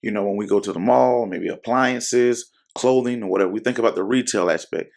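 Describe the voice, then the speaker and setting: A hoarse man with a low, gravelly voice talks casually to his viewers about stocks. He rambles, fills gaps with ums and uhs, and corrects himself as he goes. His throat sounds scratchy throughout. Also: a little fast, a little mellow. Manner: rambling, full of fillers and self-corrections. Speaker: investing channel host. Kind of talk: casual talk to camera.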